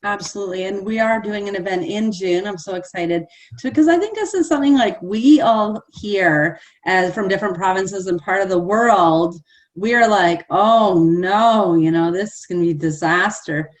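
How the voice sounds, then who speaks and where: A woman's voice: medium pitch, normal speed, poor audio. An enthusiastic woman hosting a live panel, chatty and full of "like" and "you know". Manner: enthusiastic, chatty, many fillers. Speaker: host.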